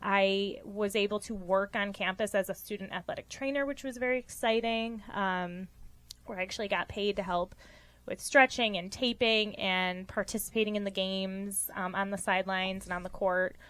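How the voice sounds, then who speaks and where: A young woman from Rochester answers interview questions in an earnest, conversational way. She fills her pauses with frequent ums and sits at a medium pitch. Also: steady tone, fairly bright tone. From Rochester, New York. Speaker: guest physical therapist.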